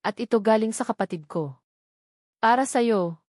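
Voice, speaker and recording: A woman's voice: on the high side, moderate pace, darkish tone, no room sound, studio quality, natural voice. Woman voicing a restrained, guilt-ridden man in love. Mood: grave, restrained. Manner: restrained, pleading. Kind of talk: romance audio drama dialogue.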